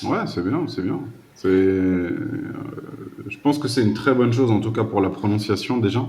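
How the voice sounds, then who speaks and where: A man's voice: lowish voice, animated, normal speed, a little mellow. A man working as a French conversation tutor on a video call, talking casually with an easy, joking and encouraging manner.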